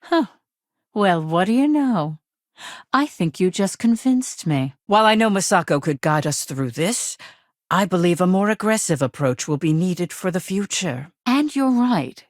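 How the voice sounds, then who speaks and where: A shrewd female corporate lawyer in a video game. Her voice sits fairly low and is recorded dry and close. She talks bluntly and to the point, with a businesslike, no-nonsense edge. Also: big pitch swings, a little slow, no room sound. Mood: dryly amused, then assertive. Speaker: head of a corporate legal department.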